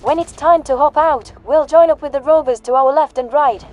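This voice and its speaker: A man's voice: very high voice, normal speed, darkish tone, soft background music, voice pushed up. A man voicing a tough, blunt, commanding human woman soldier in a science fiction audio story. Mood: brisk, tactical.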